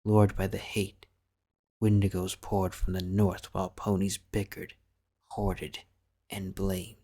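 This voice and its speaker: A man narrating a fan fiction story in a grave, measured way, sometimes dropping to a whisper. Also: deep voice, level tone, dark tone. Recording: music behind the voice.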